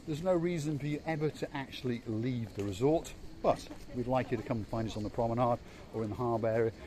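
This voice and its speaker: A man hosting a casual walking-tour vlog, chatty and playful, teasing his companion as he films outdoors. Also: medium pitch. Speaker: vlog host. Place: outdoor holiday resort. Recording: faint outdoor background noise.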